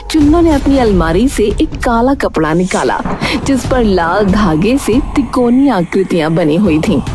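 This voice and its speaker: A woman narrating a cartoon moral tale as a storyteller, in a scripted style. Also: medium pitch. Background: music.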